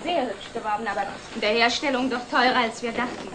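An actress playing a brisk, composed secretary to a company director in an old television drama. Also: female voice, fairly high pitch, noisy background, lightly acted. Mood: brisk, efficient. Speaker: actor in a television series. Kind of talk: 1960s German television drama acting.

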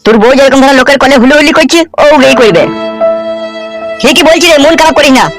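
A man's voice: very high-pitched, quick pace, loud music behind the voice. A man voicing an older village uncle in a comedy cartoon, gossipy, commiserating and grumbling. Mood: exasperated.

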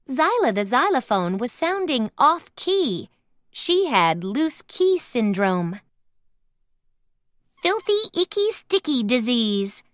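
A woman's voice: high voice, fairly bright tone, dry recording, studio quality. A woman narrating a children's read-aloud storybook, expressively sounding out each phrase.

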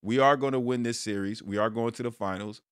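A man in his thirties from Brooklyn, hosting a casual sports talk stream from a small room at home, switching between loud hype and low muttered asides. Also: brisk, clear, resonant tone, no echo, studio quality. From Brooklyn, New York. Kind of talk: casual monologue to viewers.

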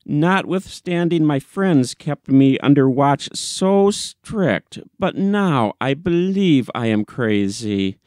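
A man voicing an agitated, crazed male character with an urgent, excitable manner in a storybook reading. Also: on the high side, slow pace, few pauses, dry recording, studio quality. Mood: excited, agitated.

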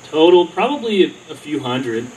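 A man's voice: fairly high pitch, very animated, moderate pace, a little mellow. A man answering questions in a modest, hesitant way, trailing off between thoughts. He is picked up from a distance on an outdoor stage, with a faint hum around him. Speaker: songwriter contestant. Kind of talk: onstage interview.

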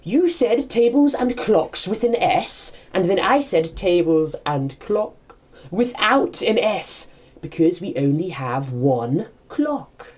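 A man's voice: high-pitched, sing-song, slow pace, full character voice. A man playing a prim, fussy, inept shopkeeper brother in a comedy radio play, stammering and earnest. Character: prim, inept, fussy upper-class brother running antique shop.

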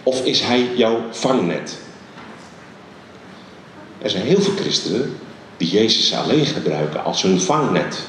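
A middle-aged man preaches on a church stage with a handheld mic, his pitch rising and falling with lively emphasis. His voice rings out through a very echoey large hall, with a faint hum of ambience behind it. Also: far from the mic. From Netherlands. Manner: animated, emphatic preaching with many pauses. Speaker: guest preacher. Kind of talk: sermon.

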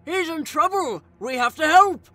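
A woman's voice: sits high, animated, moderate pace, pushed and bright, dry recording. A woman voicing a loyal, naive male skeleton who sounds earnest and pleading, in a comic dub. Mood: alarmed. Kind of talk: animated comic dub voice acting. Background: music.

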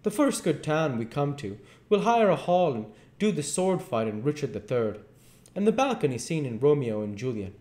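A pompous con-man duke from a storybook, self-assured and theatrical, acted out by a man. He declaims in a voice pitched well up, coaxing and grand, in an echoey room. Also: very animated, normal speed, a little mellow.

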